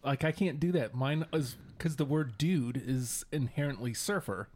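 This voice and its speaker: Man, podcast co-host, mid-pitched voice, teasing, dry remarks. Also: moderate pace, a little mellow. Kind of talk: casual chat.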